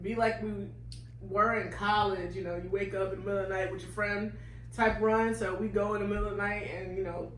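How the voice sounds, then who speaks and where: A woman co-hosting a casual relationship chat, playful and quick to laugh and tease. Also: mid-range voice, level tone, reverberant, a little hiss or hum, rough recording. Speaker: co-host.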